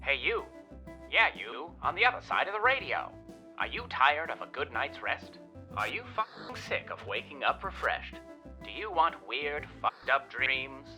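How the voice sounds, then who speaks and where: A man playing a manic, sinister radio pitchman in a parody commercial, with exaggerated salesman patter. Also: very animated, a little slow, pushed and bright, faint music behind, heavily acted. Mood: hyped salesmanship. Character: manic male radio pitchman selling eerie sleepless curse.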